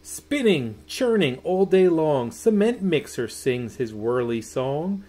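Middle-aged male storyteller for children's picture book: slightly high voice, very lively sing-song delivery, drawn-out words. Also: a little hiss or hum. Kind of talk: expressive read-aloud of a rhyming children's bedtime story. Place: small room at home.

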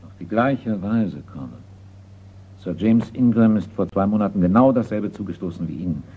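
Very deep, formal, cautious appraiser. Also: male voice, expressive, husky. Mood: grave, measured. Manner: measured, formal. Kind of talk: radio crime drama acting. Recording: noisy, tinny old radio play.

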